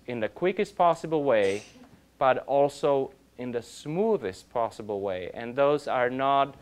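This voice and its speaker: A man in his thirties, an orchestra conductor, giving an informal lecture in a classroom, halting and restarting often but growing animated, recorded by a camera across the room. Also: mid-range voice, smooth. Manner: halting, many restarts and fillers, grows animated. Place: classroom with projector.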